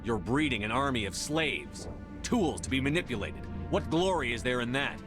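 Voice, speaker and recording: An actor playing a resolute human military commander in a video game, speaking firmly and directly. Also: male voice, mid-range voice, lively intonation, normal speed, music in the background, close to an everyday voice. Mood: defiant. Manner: firm, direct.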